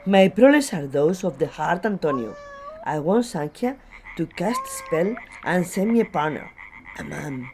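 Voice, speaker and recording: A woman's voice: on the low side, animated, unhurried, noisy background. A woman playing an exasperated, lovelorn village woman in a comic radio play. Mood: plaintive.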